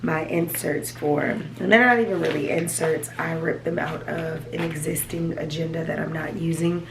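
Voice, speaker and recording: A woman with a raspy, allergy-hoarse voice, chatting casually to her viewers at home, rambling as she shows her planner. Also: sits low, fairly even intonation, normal speed, echoey room, over music, low-quality recording. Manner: rambling, chatty, talks to viewers as "you guys". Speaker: vlogger. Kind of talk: casual chat. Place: home, at a desk.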